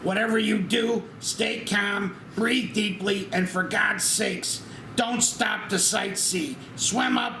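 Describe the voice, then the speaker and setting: A man's voice: sits high, steady tone, slow pace, creaky, sharp tone, heavily acted. A man playing an earnest, bossy airline crewman in a spoof screenplay, giving instructions emphatically at a table read. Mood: urgent instructing. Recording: noisy, echoey room.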